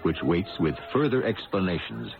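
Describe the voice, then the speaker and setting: A man's voice: sits low, big pitch swings, normal speed, vocal fry. A man hosting an old-time radio mystery, narrating scripted lines with wry, theatrical, ornate phrasing. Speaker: host and narrator. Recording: dull old radio recording. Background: prominent music.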